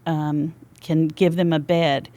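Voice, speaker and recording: A woman's voice: sits low, level tone, takes it slowly, warm tone. An older woman with a Texas accent, chatting freely as a podcast guest in a studio. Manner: chatty and talkative. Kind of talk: casual interview chat.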